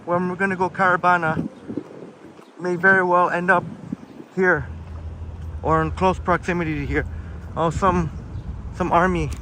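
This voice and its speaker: A man with a high voice strolls city streets while hosting a livestream, rambling loosely and reading viewer comments aloud. Wind noise rushes across the mic as he walks outdoors. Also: level tone, sharp tone. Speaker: livestream host. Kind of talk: casual walking livestream chat.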